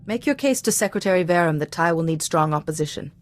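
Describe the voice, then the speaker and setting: An actress playing a calm, principled Jedi diplomat in a video game, offering composed, gently admonishing counsel. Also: female voice, on the low side, close to an everyday voice. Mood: calm, advising. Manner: composed, measured wording, advisory. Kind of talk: video game character dialogue.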